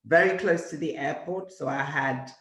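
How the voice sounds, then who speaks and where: A woman's voice: low-pitched, slight background noise. Ugandan-born woman recalling her past in an echoey room. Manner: measured, warm storytelling with vivid recollection. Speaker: podcast guest. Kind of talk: reflective life-story interview. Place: temporary home in Vienna.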